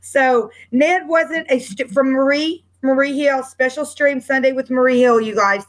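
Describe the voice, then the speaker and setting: High-voiced chatty woman hosting a book club. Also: measured pace. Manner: chatty, rambling, laughs easily. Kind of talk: casual online panel chat.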